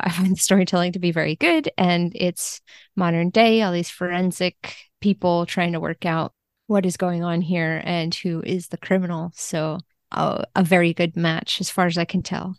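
A woman's voice: on the low side, no room sound, studio quality. A woman talking casually and enthusiastically as a guest on a podcast. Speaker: podcast guest, book translator. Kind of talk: casual chat.

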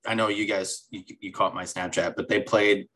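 Low-voiced man rambling through a TV recap. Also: fairly even intonation, normal speed, a bit bright. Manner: rambling, with many fillers and restarts. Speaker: podcast co-host. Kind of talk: casual TV recap chat.

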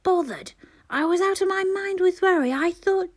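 A woman's voice: fairly high pitch, sing-song, unhurried, dark tone, no echo, studio quality, heavily acted. A woman voicing a frantic, protective young mother who speaks urgently, in a storybook reading. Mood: angry. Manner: urgent, pleading, angry.

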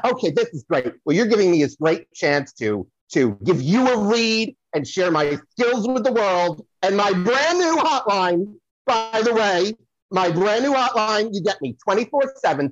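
A man's voice: high-pitched, no room sound, dull audio, exaggerated voice. A man playing a flamboyant, touchy psychic who promotes himself and rambles effusively, repeating himself, as a comedy podcast guest. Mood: effusive, eager. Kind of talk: comedic audio play banter.

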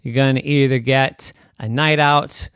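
A man voicing a patient dad in a bedtime story, calm and reasoning. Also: medium pitch, moderate pace, clear, resonant tone, dry recording, studio quality, natural voice. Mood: coaxing.

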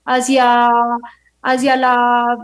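A woman's voice: a little high, completely flat delivery, very slow. A woman talking spontaneously and reflectively in an interview.

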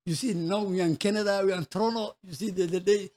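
A man from Somalia, around his thirties, with a Somali accent, telling his story casually as an interview guest in halting, repetitive non-native English. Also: high-pitched, fairly even intonation, darkish tone.

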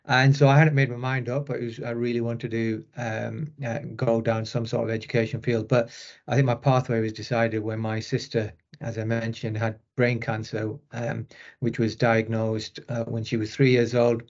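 A man's voice: medium pitch, level tone, moderate pace, a little mellow, lo-fi sound. A middle-aged man from Rochdale with a Northern English accent, answering interview questions conversationally. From Rochdale, northern England. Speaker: interview guest, sports medicine consultant.

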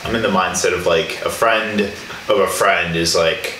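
A man's voice: sits low, fairly even intonation, measured pace, bright, forceful tone, echoey room, a little hiss or hum, low-quality recording. A young man hosting a podcast interview, rambling casually with lots of fillers. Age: young adult. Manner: rambling, many likes and fillers. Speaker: podcast host.

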